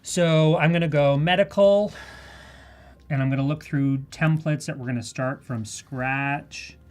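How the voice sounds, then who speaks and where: Female live tutorial host, Canadian accent, low-lying voice for a woman, chatty and keen, conversational delivery. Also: unhurried, a little mellow. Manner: chatty, enthusiastic. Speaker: presenter of a live software tutorial. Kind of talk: live demonstration, casual and conversational.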